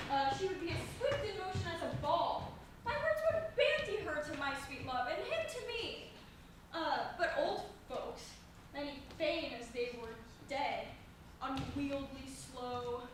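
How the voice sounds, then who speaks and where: A woman's voice: very high voice, exaggerated voice. A woman playing an impatient, lovestruck young girl in a Shakespeare play, pleading theatrically. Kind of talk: amateur Shakespeare play rehearsal. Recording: very echoey, noisy room, distant mic.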